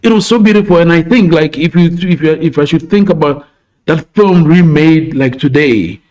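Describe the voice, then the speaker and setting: A man's voice: fairly high pitch, normal speed, a little mellow, poor audio. A man from Ghana, co-hosting a podcast in a reflective, word-searching manner. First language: Twi. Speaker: podcast co-host and filmmaker. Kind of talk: casual conversation.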